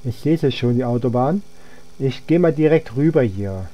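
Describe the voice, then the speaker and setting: Young guy doing a chill let's play, soft dark tone, kinda rambles thinking out loud. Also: medium pitch, expressive, moderate pace. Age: young adult. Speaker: let's player. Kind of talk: casual gameplay commentary.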